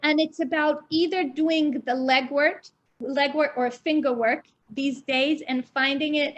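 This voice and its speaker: A woman from Israel with a mixed British and American English accent, explaining things informally and articulately as a guest art guide over a dull online connection. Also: sits high. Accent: British-American English mix. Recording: dull lo-fi online call audio.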